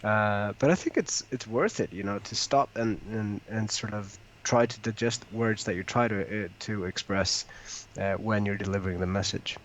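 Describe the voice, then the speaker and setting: Man, low-pitched, reflective and slow, light background noise. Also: rough recording. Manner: slow, thoughtful, pauses to choose words. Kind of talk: casual conversational discussion.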